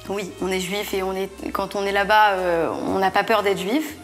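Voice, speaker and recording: A woman's voice: mid-range voice, little pitch movement, moderate pace, faint music behind. A woman speaking sincerely and directly in a documentary interview. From France.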